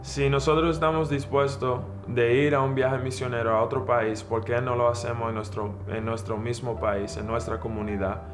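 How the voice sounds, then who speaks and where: A young man with a New York Dominican accent shares a personal testimony. His voice is medium-pitched and fairly flat, with music clearly underneath. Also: moderate pace, few pauses. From the Bronx, United States. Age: young adult. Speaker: student and missionary volunteer.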